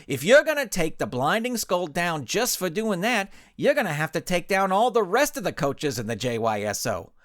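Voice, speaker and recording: Nervous male coach for audio storybook, played by a male narrator: high, whimpering, pleading, animated. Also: moderate pace, few pauses, no echo, clean studio sound. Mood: insistent. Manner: whimpering, insistent.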